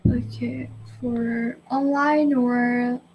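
High-voiced young woman, slow talk. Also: fairly even intonation, highs cut off, a crowd in the background. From Vietnam. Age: young adult. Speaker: chat partner. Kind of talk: video chat small talk. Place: indoor room.

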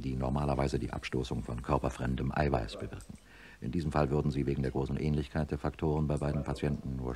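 A man playing a sober middle-aged neurosurgeon, narrating a radio drama in a measured, matter-of-fact way. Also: rumbling low, mellow. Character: sober male neurosurgeon professor recounting his experiment.

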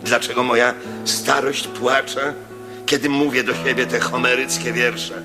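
An actor playing an aging Roman proconsul who speaks in a lofty, brooding, theatrical way in a radio play. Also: male voice, mid-range voice, flat delivery, unhurried, over music, natural voice. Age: older. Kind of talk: 1980s-style radio drama.

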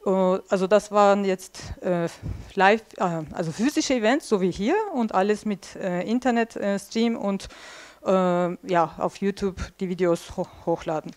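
A woman's voice: medium pitch, normal speed. Woman giving a chatty talk. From Turkey. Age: 40s. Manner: chatty, many filler sounds, drawing on a flip chart while talking. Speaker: speaker at a tech policy evening. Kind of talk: informal conference talk. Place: small dim event room indoors. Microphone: headset mic.

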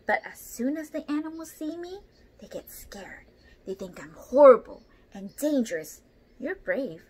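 A woman's voice: high-pitched, big pitch swings, measured pace, a little mellow, slightly rough, voice pushed up, exaggerated voice. A young woman voicing a lonely, earnest storybook python who speaks firmly and confidently. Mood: lonely, pleading. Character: lonely, earnest python seeking a brave friend. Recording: noisy home room recording.

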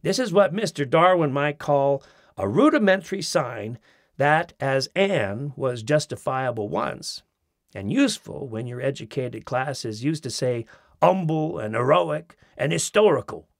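Gravelly-voiced man reading wryly. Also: mid-range voice, animated, unhurried, no echo. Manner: mimics accents in quoted phrases, dry wit. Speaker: audiobook narrator. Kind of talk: expressive reading of a humorous essay. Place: studio. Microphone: close studio mic.

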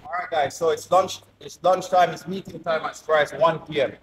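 A man's voice: on the high side, steady tone, normal speed, fairly bright tone. A man from Jamaica making an upbeat invitation to a gym class, checking in with "right?" as he talks. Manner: upbeat, checking in with tag questions. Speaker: gym organizer. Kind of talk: inviting announcement to camera. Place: large indoor gym. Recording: echoey gym, distant from mic, noisy.

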